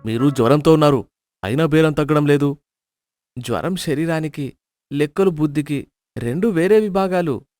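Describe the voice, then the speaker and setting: A man voicing a grave, dryly witty village doctor who speaks in a measured, sardonic way. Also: a little high, a little mellow, no echo, clean studio sound, soft background music, lightly acted.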